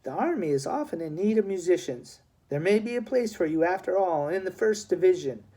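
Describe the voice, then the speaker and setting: A man voicing a stern but softening army major in a storybook, authoritative and full of clipped questions. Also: sits high, very animated, fairly quick, pitched above the performer's own voice, full character voice. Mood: considering.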